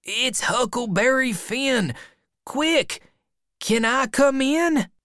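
Narrator doing a kid in an audiobook, voice way up high, earnest, urgent, kinda scared. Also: lively intonation, drawn-out words, a bit bright, dry recording, clean studio sound, heavily acted. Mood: urgent pleading. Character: earnest frightened boy, urgent and pleading.

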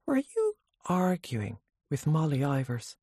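A narrator voicing an eager, mildly teasing wife with a lively, coaxing manner, in an audiobook story. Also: mid-range voice, slow pace, husky, no echo, studio quality, heavily acted. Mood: matter-of-fact.

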